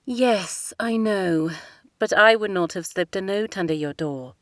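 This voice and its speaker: A woman's voice: mid-range voice, measured pace, a bit bright, dry recording, clean studio sound, natural voice. A cool, formal young princess, as a woman reads her. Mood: reserved. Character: young princess, proud and guarded.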